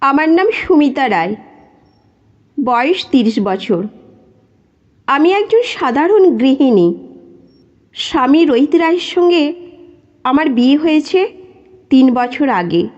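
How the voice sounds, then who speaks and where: A woman narrating a scripted first-person story in a measured, dramatic storytelling manner, with dull lo-fi sound. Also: high voice, big pitch swings, a little mellow.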